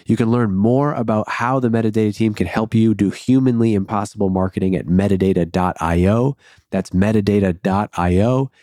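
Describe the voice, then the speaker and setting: A man's voice: fairly low pitch, fairly quick, warm tone, dry recording, clean studio sound. A man hosting a podcast, reading an ad and chatting with loose, jokey asides. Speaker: podcast host. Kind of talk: casual podcast chat and ad read.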